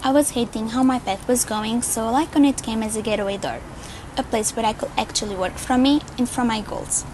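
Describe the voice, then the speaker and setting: A young woman in her early twenties gives a testimonial in a Portuguese accent, as a native Portuguese speaker. Her voice is a little high, with faint ambient noise behind it. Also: brisk. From Lisbon, Portugal. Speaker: network marketer giving a testimonial. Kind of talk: prepared video testimonial.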